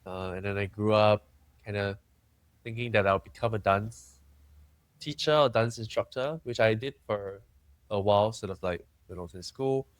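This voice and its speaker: A young man from Singapore, talking candidly and thoughtfully as a podcast guest, often pausing and restarting. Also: lowish voice, dry recording. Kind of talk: candid conversational interview.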